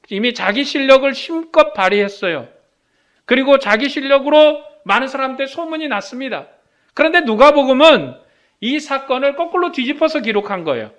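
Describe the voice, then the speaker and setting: A man delivers a sermon in a very high, clear, resonant voice. He is emphatic and declamatory, putting rhetorical questions and answering them himself. His phrases swell and then drop away at the end. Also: a bit bright. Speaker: preacher. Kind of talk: sermon to a congregation.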